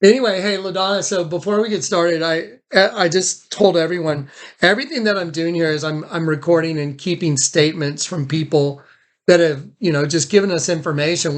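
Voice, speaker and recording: Higher-pitched man, informal and conversational. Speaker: host recording a phone statement. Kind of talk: casual, conversational documenting talk.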